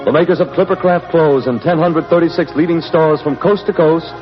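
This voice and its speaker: A man's voice: a little high, brisk. A man announcing and narrating a radio drama as its host, in scripted 1940s broadcast style. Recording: muffled 1940s radio with loud organ. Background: organ music.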